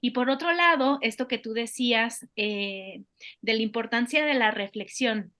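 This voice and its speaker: A woman's voice: on the high side, unhurried, sharp tone. A woman hosting an interview, responding thoughtfully and building on her guest's points. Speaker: host.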